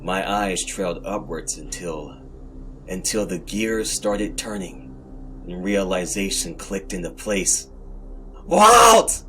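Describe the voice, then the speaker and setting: A man narrating horror fiction as host, in a scripted, dramatic and brooding manner with deliberate pauses. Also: low-pitched, steady tone, background noise.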